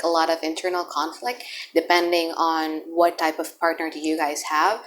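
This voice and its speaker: A woman's voice: sits low, a little hiss or hum, low-quality recording. A woman whose first language is Indonesian gives a chatty, blunt tarot reading, thinking aloud as she turns the cards. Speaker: tarot reader. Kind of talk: casual tarot reading to camera.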